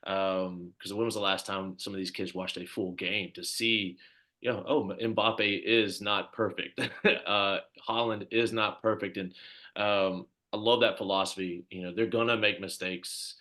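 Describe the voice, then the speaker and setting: A man's voice: low-pitched, fairly even intonation, measured pace. A man hosting a casual video call between youth soccer coaches, chatty and full of fillers, thanking each guest in turn. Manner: chatty, lots of fillers, warmly thanks others. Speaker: club coach hosting the coach introductions.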